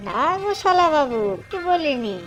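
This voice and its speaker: A man's voice: very high-pitched, very animated, measured pace, over loud music, exaggerated voice. A man voicing a cheerful, boastful young son-in-law in a family cartoon, with playful delivery. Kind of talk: cartoon voice acting.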